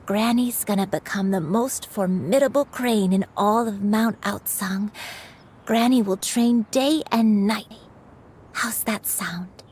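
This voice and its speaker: An actress voicing a gentle old grandmother in a game cutscene, speaking softly and tenderly. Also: mid-range voice, takes it slowly, slightly rough. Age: older. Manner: soft, tender, comforting. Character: gentle old grandmother, a crane turned human.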